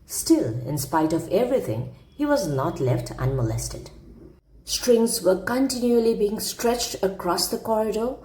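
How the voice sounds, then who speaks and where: A woman's voice: medium pitch, animated, measured pace, dark tone, reverberant, slight background noise, rough recording. A woman narrating a classic humorous story aloud as a storyteller, with theatrical, emphatic phrasing.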